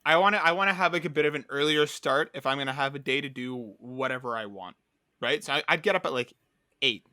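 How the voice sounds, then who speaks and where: Young male podcast co-host, upbeat rambler, bright tone, dry close sound. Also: mid-range voice, fast talker, no room sound. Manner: rambling, upbeat storytelling with many fillers. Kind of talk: casual podcast chat.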